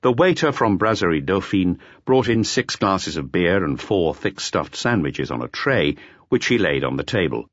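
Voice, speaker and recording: A man reads an audiobook as a scripted, dramatic narration. His voice is husky and lowish with very lively intonation, recorded dry and slightly dull. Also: normal speed, no echo.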